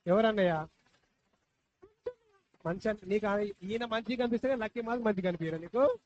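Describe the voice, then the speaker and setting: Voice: medium pitch, moderate pace, darkish tone. A puppet show host talking live to children, coaxing and repeating questions. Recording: dull lo-fi live recording, faint noise.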